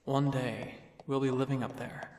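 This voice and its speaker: A man's voice: mid-range voice, flat delivery, normal speed, darkish tone, slight background noise, lightly acted. A man voicing a young male pony, an earnest, anxious and protective big brother, in a story reading. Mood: hopeful, wistful.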